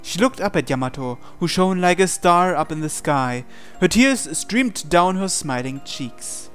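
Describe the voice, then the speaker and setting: A man's voice: high voice, expressive, measured pace. A man reading a visual novel aloud as a let's player, with theatrical storytelling and casual asides. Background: game music.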